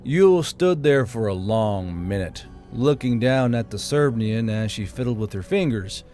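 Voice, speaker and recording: Male narrator, medium pitch, scripted fantasy storytelling for an audiobook. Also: darkish tone.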